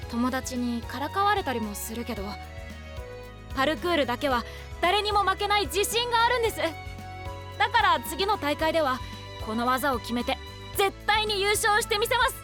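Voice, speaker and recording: An eager, bashful young boy in an anime voice drama. The voice is extremely high, earnest and polite, and stumbles over words. Music is clearly heard behind it. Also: expressive, moderate pace, pauses often. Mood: determined. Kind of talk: Japanese anime voice drama acting.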